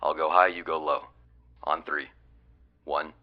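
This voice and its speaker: A very deep, gruff lieutenant. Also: male voice, fairly even intonation, measured pace, dull audio. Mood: commanding. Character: gruff, wisecracking male police lieutenant leading a search. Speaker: actor in an audio drama. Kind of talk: radio-call audio drama acting.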